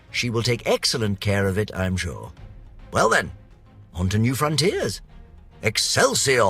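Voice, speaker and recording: An eccentric British gentleman scientist for a video game, vain and florid. His slightly low-pitched voice swings expressively as he recites his journal with theatrical pomp and eager self-importance. Music plays behind him. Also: full character voice. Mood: excited. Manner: pompous, florid, theatrical, precisely worded. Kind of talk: dramatic narrated journal entries for a video game.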